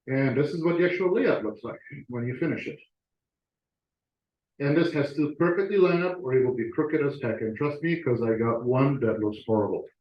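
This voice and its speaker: A man gives a relaxed presentation to fellow club members, working through slides with fillers and restarts. His mid-pitched voice rings in a roomy meeting space. The recording is dull and lo-fi. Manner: conversational with frequent fillers and restarts.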